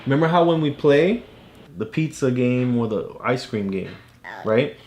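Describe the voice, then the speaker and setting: A man's voice: medium pitch, expressive, a little slow, a little mellow, a little hiss or hum. A man patiently explaining things to a child as a father during a read-aloud, teaching with questions and examples. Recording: roomy, echoey home recording.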